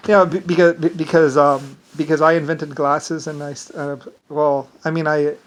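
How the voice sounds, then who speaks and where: A man's voice: fairly high pitch, little pitch movement, moderate pace, poor audio. A man with an Irish accent delivering a rambling, digressive solo monologue with self-assured claims.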